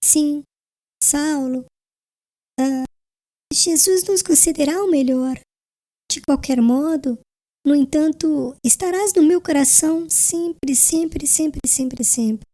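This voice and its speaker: Woman as frail young bride, high mellow voice, serene, devout, solemn tender delivery, audiobook drama. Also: slow.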